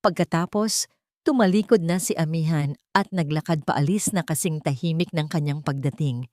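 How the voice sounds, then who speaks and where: A woman with a Filipino accent narrating a dramatic story in a measured, deliberate way, as in an audiobook. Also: low voice, darkish tone, dry recording, studio quality.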